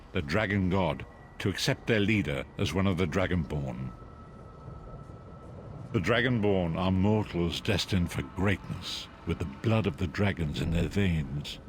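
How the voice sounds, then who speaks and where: An actor playing a frail, blind old prophet and mystic guide in a fantasy video game, speaking gravely in archaic, oratorical phrases. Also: male voice, low-pitched, slight background noise. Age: older. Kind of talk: dramatic fantasy game voice acting.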